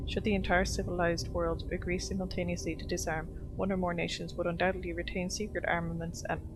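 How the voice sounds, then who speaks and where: Low-voiced, creaky woman streaming a game. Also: noisy background. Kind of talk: casual game commentary and reading on-screen text aloud. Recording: clear game music behind the voice. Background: game music.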